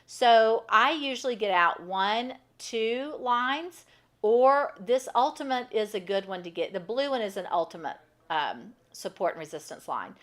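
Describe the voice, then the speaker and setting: Bright-voiced woman teaching chart trading. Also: a little high, lively intonation, unhurried. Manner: chatty, explains while pointing at a chart. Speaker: trading instructor. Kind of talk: live online trading lesson. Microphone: microphone first left off, then turned on.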